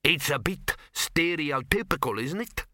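Man doing a sharp, grumbling dwarf miner. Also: fairly high pitch, lively intonation, measured pace, no echo, studio quality, pitched above the performer's own voice, full character voice. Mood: aggrieved. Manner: argumentative, explaining at length.

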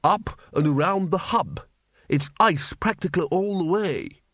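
A man's voice: high-pitched, unhurried, a bit bright, no room sound, clean studio sound, pitched above the performer's own voice. A man doing a curt old wizard lecturer. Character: older, matter-of-fact male wizard lecturer.

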